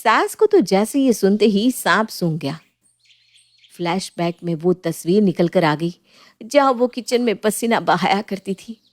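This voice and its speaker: A woman storyteller narrating a scripted family story in a lively, colloquial style. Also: medium pitch, unhurried.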